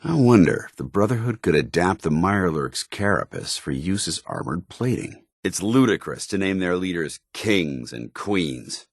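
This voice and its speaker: An actor playing a stern, zealous soldier companion in a video game, with an American accent and a formal, earnest military delivery. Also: male voice, deep voice, big pitch swings, moderate pace, hardly any pauses, dry recording, studio quality, exaggerated voice. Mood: disgusted.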